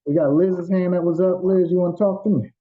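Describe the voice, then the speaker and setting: A man's voice: sits high, steady tone, fairly quick, narrow, tinny audio, a little hiss or hum. A man hosting a group coaching call in a casual, playful, teasing way.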